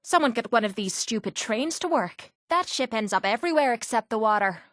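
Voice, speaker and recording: A woman's voice: fairly high pitch, animated, brisk, fairly bright tone, no room sound, clean studio sound. An actress playing a tough, cynical, streetwise young woman with an Irish accent, as a companion in a video game. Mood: wry, grumbling. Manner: blunt, sardonic, streetwise. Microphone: close, dry studio microphone.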